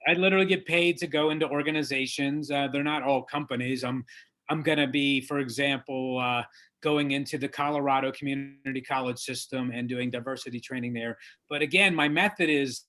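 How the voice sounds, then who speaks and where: A man being interviewed as an author, lawyer and diversity trainer, speaking in an earnest, measured and emphatic way. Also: mid-range voice, steady tone, sharp tone. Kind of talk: interview guest, conversational.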